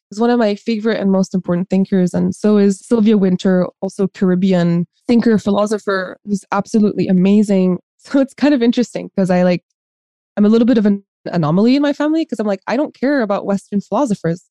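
A woman's voice: medium pitch, dark tone, no room sound. A woman of about thirty from Lebanon, talking reflectively and casually as an articulate interview guest. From born in Lebanon, later raised in Dubai. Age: around 30. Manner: articulate, reflective, frequent "like" asides. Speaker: podcast guest, former college professor.

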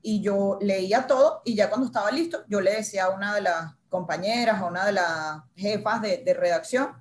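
A woman recounts her years as a TV news reporter in a relaxed interview. She tells it animatedly, slipping into the voices of the people in her story. Her pitch sits mid-range for a woman. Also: brisk, a little hiss or hum. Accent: Spanish-speaker's accent in English. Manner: animated storyteller who acts out dialogue.

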